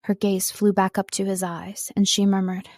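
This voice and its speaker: A woman narrating audiobooks from a script in an expressive, measured storytelling way, close to the microphone. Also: mid-range voice, no room sound. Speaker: audiobook narrator, voice-over artist.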